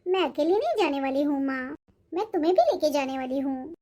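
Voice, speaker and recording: A loving young cartoon daughter performed by a woman with a very high voice. She is affectionate and insistent. She coaxes gently, in a pleading way. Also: animated, fairly quick. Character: loving, insistent grown daughter about to marry. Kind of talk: Hindi cartoon moral-story voice acting.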